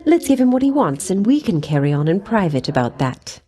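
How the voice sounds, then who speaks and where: A woman's voice: mid-range voice, big pitch swings, brisk, dark tone, soft background music, lightly acted. A woman voicing a vain, flirtatious goddess of love in a video game, coy and teasing, with sweet-voiced threats.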